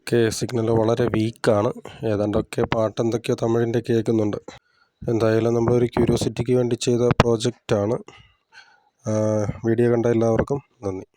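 A man's voice: medium pitch, level tone, a little fast, background noise. A man giving a casual hands-on tutorial, explaining plainly step by step as a hobbyist presenter.

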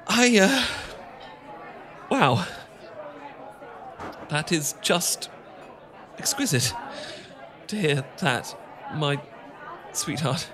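A bashful young British professor, acted by a man for a romantic audio roleplay. His voice sits a little high and he stammers and gushes in halting, tipsy politeness. People chatter in the background. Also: big pitch swings, exaggerated voice. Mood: moved, flustered. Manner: stammering, halting, gushing, old-fashioned polite. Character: bashful, flustered young male English professor, smitten and tipsy. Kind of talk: romantic ASMR roleplay acting.

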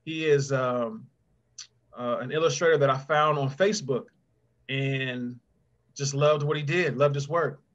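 A man's voice: mid-range voice, steady tone, measured pace, fairly bright tone. A middle-aged man speaking as a guest author, chatting encouragingly and directly to children on a video call. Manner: conversational, addresses children directly by name. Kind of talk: encouraging question-and-answer talk to children. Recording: dull, muffled video call audio.

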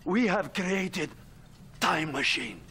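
Frantic high-pitched scientist. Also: male voice, animated, takes it slowly, pushed and bright, a little hiss or hum, heavily acted. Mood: frantic, pleading. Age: middle-aged. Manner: urgent, agitated, broken English. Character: frantic, pleading male Soviet scientist defector. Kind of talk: video game cutscene acting.

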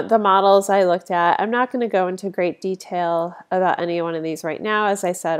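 A woman with a mild cold gives a relaxed voice-over for a lecture on statistics slides. She sounds a little sniffly. Her pitch sits in the middle, and she talks informally with plenty of fillers. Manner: informal, conversational, frequent fillers. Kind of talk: casual narrated slide lecture.

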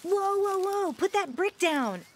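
A woman's voice: very high voice, animated, measured pace, background noise, exaggerated voice. An actress playing a wary, cautioning female companion in an audio drama. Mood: alarmed.

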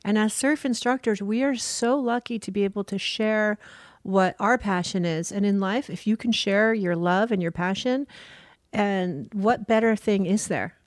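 A woman's voice: medium pitch, moderate pace, dry recording. A woman surf school founder talks with earnest passion in a casual podcast interview. Her voice sits mid-range and is recorded dry and close, with no room sound. She laughs easily between thoughts. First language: French.

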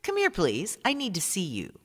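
An actress playing an imperious, businesslike theatre producer in an audio drama. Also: female voice, on the low side, wildly swooping pitch, moderate pace, noisy background. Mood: commanding. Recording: long hall-like reverb.

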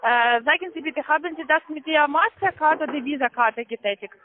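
A woman works as a call-centre agent. She gives insistent instructions in halting, phrasing. The sound is a muffled, lo-fi telephone line. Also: high-pitched, a little hiss or hum. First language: not German. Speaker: call-centre phone scammer. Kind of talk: pushy scripted phone instructions. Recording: dull lo-fi phone line.

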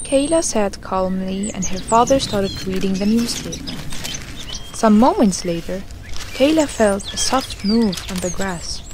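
A woman's voice: medium pitch, lively intonation, measured pace. A woman narrator reading a scripted bedtime story for children. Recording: clear background noise with birdsong. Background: birdsong.

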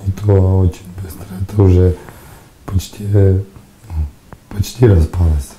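Hoarse young man, low monotone, near-whispered halting testimony, faint hiss behind. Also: rough recording. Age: young adult. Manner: quiet, halting, often dropping to a whisper. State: hoarse, lost his voice. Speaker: patient giving a testimonial. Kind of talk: interview testimonial. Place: studio, plain dark backdrop. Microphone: lapel mic.

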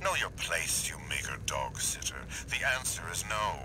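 Haughty, low-voiced officer, swooping delivery. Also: male voice, sing-song, normal speed, background noise. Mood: smug contempt. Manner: pompous, condescending, precise. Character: haughty, sneering male elite corporate officer. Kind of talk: scripted video game cutscene dialogue.

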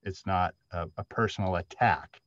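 A middle-aged man explaining his views in a measured way on a casual panel, over a dull video call. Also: low voice, fairly bright tone, dry recording. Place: indoor room at home.

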